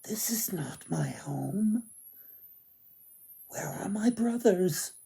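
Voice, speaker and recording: Older style storyteller guy as a gentle young woman in a fairy tale, higher voice, breathy, pleading. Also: expressive, drawn-out words, exaggerated voice. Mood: bewildered.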